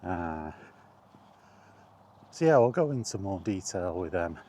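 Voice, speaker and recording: A middle-aged man in an unhurried, halting, reflective solo chat as a gaming vlogger. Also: low voice, big pitch swings, mellow, slight background noise. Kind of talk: rambling casual chat about video games.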